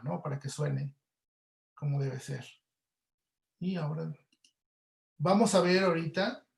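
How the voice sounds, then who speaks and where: Male presenter, high voice, conversational and informal, explaining his trade in an echoey room. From Mexico. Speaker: audio producer giving a presentation. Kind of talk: informal explanatory webinar talk.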